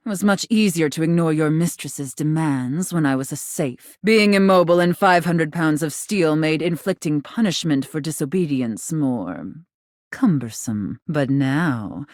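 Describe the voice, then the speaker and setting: A commanding dominatrix from a video game, speaks in a low register with highly animated swings of pitch. She is imperious and teasing, choosing formal, condescending phrases and placing them deliberately. The sound is dry, with no room around it. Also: no room sound. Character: imperious, teasing dominatrix, a living safe given human form. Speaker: voice actor. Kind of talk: scripted video game character acting.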